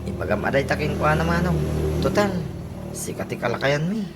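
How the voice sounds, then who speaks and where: Woman playing a complaining young cousin, loud music behind. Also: low voice, expressive, fairly quick. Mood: informing. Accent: Ilocano Filipino. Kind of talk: Ilocano comedy radio drama acting. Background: loud background music.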